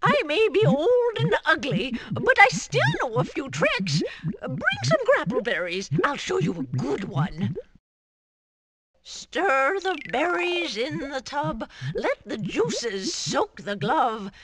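A woman's voice: sits high, huge pitch swings, slow, fairly bright tone, heavily acted. A woman playing a crafty, ugly old witch in a cartoon video game, theatrical and chanting, over a busy background. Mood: crafty, encouraging.

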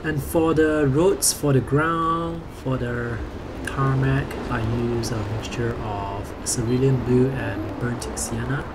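A man calmly explaining his sketching and watercolor process in a voice-over. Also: medium pitch. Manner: calm, explanatory, unhurried. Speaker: artist narrating his sketching video. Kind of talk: voice-over commentary on painting. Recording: audible background noise.

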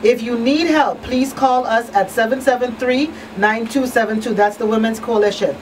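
A woman's voice: a little high, normal speed, far from the mic, slight background noise, rough recording. A middle-aged woman hosting a radio talk show, addressing listeners directly and emphatically. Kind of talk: public-service talk show wrap-up. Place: small indoor radio studio. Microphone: boom-mounted studio microphone.

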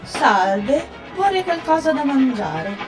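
A woman's voice: high-pitched, sing-song, slow, exaggerated voice. A woman voicing a famished, indignant animatronic chicken in an amateur cartoon fan dub. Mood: polite, hungry. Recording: slightly distant mic, music behind.

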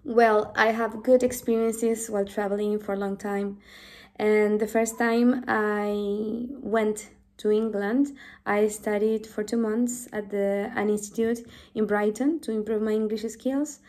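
A woman's voice: medium pitch, fairly even intonation, unhurried, a little mellow. A woman in her twenties from Chile with a Chilean Spanish accent, a native Spanish speaker and English teacher, introducing herself to camera in a small room at home, earnest and friendly, restarting her phrases often. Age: 20s. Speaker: English teacher applying for volunteering. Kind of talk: self-introduction video to camera. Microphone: device camera at close range.

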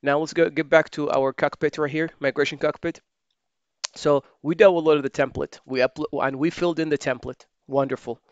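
A man giving a screen-recorded software tutorial, thinking aloud as he types and often correcting himself. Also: on the high side, moderate pace, no room sound, dull audio. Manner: relaxed, thinking aloud while typing, many fillers and self-corrections. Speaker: software trainer.

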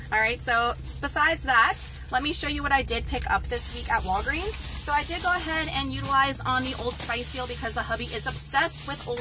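A woman, chatting upbeat to viewers as she walks through store deals, then films from a parked car. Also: a little high. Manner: upbeat, talks straight to viewers with "y'all" and "guys". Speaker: couponing vlogger. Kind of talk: casual chatty deal walkthrough. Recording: noisy store and car background.

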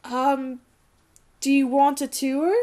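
A woman voicing an eager, nervous enchanted candelabra servant, excitable and pleading, in an audio drama. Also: high voice, takes it slowly. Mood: anxious.

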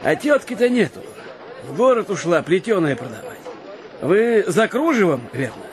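An actor playing a hearty young village blacksmith in a radio play, with a folksy, open manner. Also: male voice, high-pitched, big pitch swings, normal speed, crowd noise. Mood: obliging.

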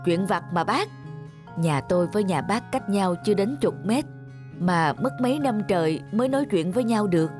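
A man's voice: high voice, animated, normal speed. A big, kind-hearted male neighbour for a cartoon. He is easygoing and modest, and music plays faintly underneath. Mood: modest, friendly. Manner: easygoing, self-effacing. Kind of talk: cartoon dubbing.

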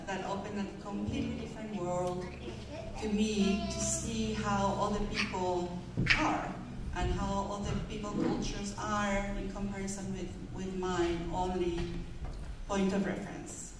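A woman's voice: medium pitch, a little slow, background noise. Woman, chatty panel guest. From Mexico. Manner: conversational, hesitant with many fillers. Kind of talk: church panel discussion. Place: church stage before a congregation. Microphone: handheld mic.

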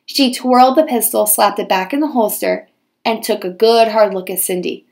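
A woman teacher reading a children's storybook aloud with expressive, dramatized storytelling. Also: medium pitch, moderate pace, gravelly.

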